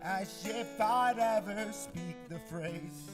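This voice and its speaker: A young Ecuadorian man singing a pop punk song live in a radio studio, holding his lines long. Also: takes it slowly. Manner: sings high with sustained held lines. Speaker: musician performing live. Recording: loud acoustic guitar under the voice.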